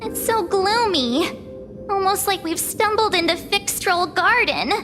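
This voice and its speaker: A dramatic, squeamish little game character. The pitch is extremely high and swings widely, in a long hall reverb. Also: female voice, lively intonation, measured pace, over music. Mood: uneasy, grumbling. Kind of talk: video game voice acting.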